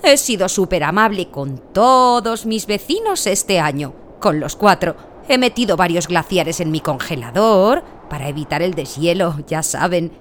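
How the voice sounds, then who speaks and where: A woman acts out a folksy, self-satisfied Arctic local in a radio comedy. She is blunt and emphatic, with swooping, lively intonation over faint ambient noise. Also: on the low side. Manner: colloquial, emphatic, deadpan boasting. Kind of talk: comic radio play acting.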